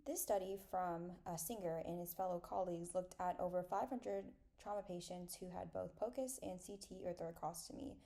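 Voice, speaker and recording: A young adult woman giving a scripted academic medical presentation in a measured, explanatory way. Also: on the low side, steady tone, mellow.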